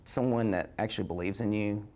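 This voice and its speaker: A man in his forties with an American accent speaking haltingly and reflectively in a personal interview. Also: fairly low pitch, fairly even intonation, darkish tone. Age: 40s. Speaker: interviewee, victim's son.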